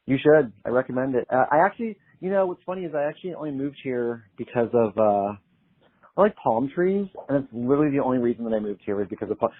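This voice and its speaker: A man's voice: medium pitch, animated, quick pace. Easygoing young man on a phone line. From Pennsylvania. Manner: easygoing, chatty, laughs often. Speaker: singer-songwriter guest. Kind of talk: casual interview chat.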